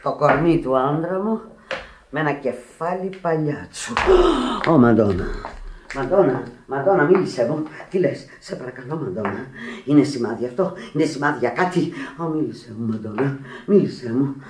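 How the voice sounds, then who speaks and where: An actress in a radio play as a passionate, lonely Sicilian seamstress, bossy yet tender, dramatically shifting from commanding to plaintive. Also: female voice, very deep voice, expressive, background noise. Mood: awed, imploring. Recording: echoey, roomy radio drama recording.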